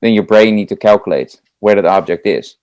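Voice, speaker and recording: Lowish-voiced dutch man, chatty. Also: fairly quick, a little mellow. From Netherlands. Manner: eager, chatty, many fillers like "like" and "uh". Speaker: guest, comedy juggler. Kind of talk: casual interview answers.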